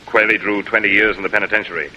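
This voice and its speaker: Casting: indignant, self-important executive, sharp and fairly low, old radio mystery style with faint noise. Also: male voice, steady tone, racing. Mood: impatient, guarded. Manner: pompous, blustering, clipped. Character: gruff, indignant, self-important male company boss. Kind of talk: 1940s radio drama acting. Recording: muffled, noisy vintage radio recording.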